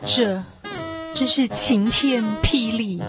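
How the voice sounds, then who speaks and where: Expressive woman narrator over music. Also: fairly high pitch. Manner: expressive, measured literary delivery. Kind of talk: scripted radio drama storytelling. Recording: music behind the voice.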